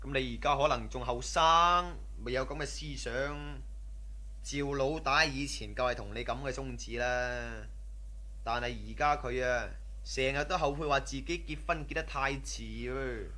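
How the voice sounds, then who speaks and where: A male actor plays a lowly casino worker in a radio drama, eager and admiring. His voice is medium in pitch with a bright, forceful edge. He talks in a chummy, deferential way. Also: moderate pace, lightly acted. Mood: warm, reflective. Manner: deferential, easily impressed, chummy. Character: humble, eager casino underling, admiring younger male cousin. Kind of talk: Cantonese radio drama acting.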